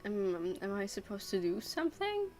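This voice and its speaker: Casual, medium-pitched woman streamer. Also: big pitch swings, normal speed, a little mellow, faint music behind. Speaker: game streamer. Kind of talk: casual playthrough commentary.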